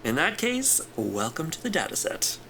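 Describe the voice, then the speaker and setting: Easygoing interviewer, lively intonation. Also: male voice, fairly high pitch, vocal fry, a little hiss or hum, heavily acted. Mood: dry, candid. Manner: informal, reassuring, tactful, measured. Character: smooth, easygoing corporate interviewer at aerospace company. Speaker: actor in audio drama. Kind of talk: naturalistic sci-fi audio drama acting.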